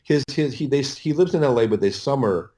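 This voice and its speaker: A man's voice: mid-range voice, expressive, mellow. A middle-aged American man telling stories casually as an interview guest, often stopping and correcting himself as he rambles. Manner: halting, rambling, self-correcting. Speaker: audiobook producer, podcast guest.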